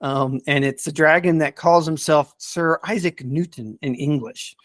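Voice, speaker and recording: Guy with a highish voice, casual podcast talk, kinda halting and repeats words, dry close sound. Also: no echo. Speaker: podcast speaker reviewing radio dramas.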